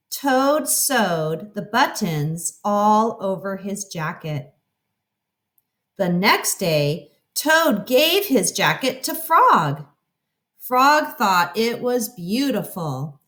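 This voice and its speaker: Animated woman reading a children's story slowly. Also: mid-range voice. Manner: expressive, explanatory, addressing children.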